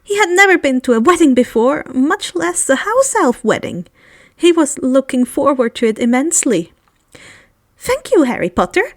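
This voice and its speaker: A woman's voice: high-pitched, animated, normal speed, no echo, clean studio sound. A woman narrating a story expressively as an amateur audiobook reader, now and then stumbling slightly over a word.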